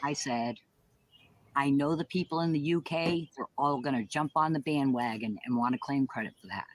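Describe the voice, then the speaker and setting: A woman's voice: rumbling low, moderate pace, a little hiss or hum. A woman delivering a taunting, foul-mouthed monologue.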